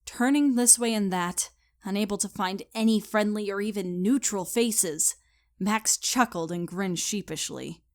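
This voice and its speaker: A woman's voice: medium pitch, a little slow. A woman reading scripted story narration in a dramatic, storytelling style.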